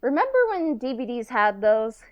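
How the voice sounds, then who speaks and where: A woman co-hosting a podcast in casual, halting chat peppered with filler words like "like" and "kinda". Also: fairly high pitch, very animated, no room sound, muffled recording. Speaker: podcast co-host and audio drama writer.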